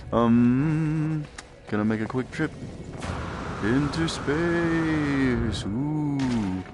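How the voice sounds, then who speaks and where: A man's voice: mid-range voice, very slow, background noise. A man giving casual live commentary on a game, laid-back and mostly talking to himself, muttering along as he goes. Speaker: gaming streamer.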